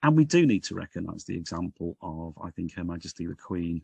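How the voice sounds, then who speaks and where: A man's voice: deep voice, big pitch swings, a little fast, creaky, darkish tone, breathy edge, dry recording. A man in his sixties with a Liverpool accent, a minister talking earnestly and reflectively as a guest on a video call from home. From Liverpool, England. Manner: earnest, reflective, frequent restarts and "dare I say". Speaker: Baptist regional minister, guest. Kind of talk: conversational podcast interview. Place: home room, indoors.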